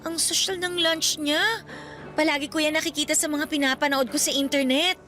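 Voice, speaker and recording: A woman's voice: sits high, fairly even intonation, moderate pace, bright, forceful tone, faint music behind. An actress playing a young female student in a radio drama, flustered and evasive, scolding her boyfriend playfully. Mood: defensive. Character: shy, secretive young female student girlfriend.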